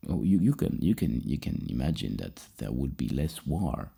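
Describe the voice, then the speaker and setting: A soft-spoken ASMR host playing a psychologist, musing in a halting way and repeating words. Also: very deep voice, sing-song, vocal fry, breathy edge.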